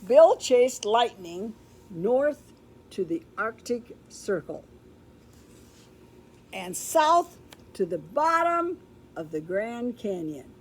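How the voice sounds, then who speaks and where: Slow-paced older woman reading a storybook. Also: a little high, big pitch swings, slight background noise. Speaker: storybook reader. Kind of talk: read-aloud children's storytelling. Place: indoor room with books, like a classroom or library.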